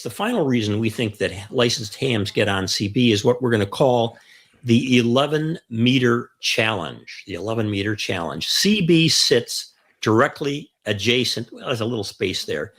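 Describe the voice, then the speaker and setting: A man's voice: on the low side, fairly bright tone. A mature man co-hosting a radio hobby show, talking conversationally through a list of points and repeating key phrases for emphasis. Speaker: co-host of a ham radio show. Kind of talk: conversational explanatory talk, counting off points.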